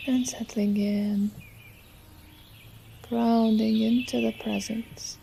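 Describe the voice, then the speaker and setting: A woman guides a relaxation meditation in a slow, soothing voice. Her delivery is gentle and quite even, with little rise or fall. Faint nature sounds and birdsong sit behind her. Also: mid-range voice, creaky, slight background noise. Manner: soothing, unhurried, gentle instruction. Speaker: yoga nidra teacher.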